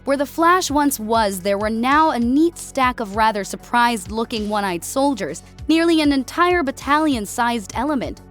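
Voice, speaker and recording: High-voiced woman narrating fiction. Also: lively intonation, normal speed, few pauses, a bit bright, faint music behind. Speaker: audiobook narrator. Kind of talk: dramatic scripted fiction narration.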